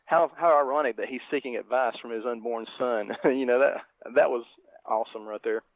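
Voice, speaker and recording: A man's mid-range voice comes over a narrow, phone-like line with no room sound. He is a podcast co-host discussing a film casually, in a halting, reflective and appreciative manner. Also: medium pitch, dry recording. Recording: thin phone-like line, dry.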